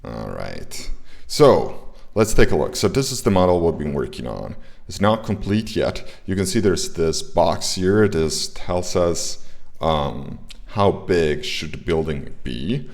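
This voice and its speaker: A man's voice: sits low, big pitch swings, takes it slowly, vocal fry, a little mellow. A young man with an Italian accent, hosting a casual game development livestream and talking with frequent hesitations. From Italy. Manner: hesitant, many fillers and restarts. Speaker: indie game developer streaming.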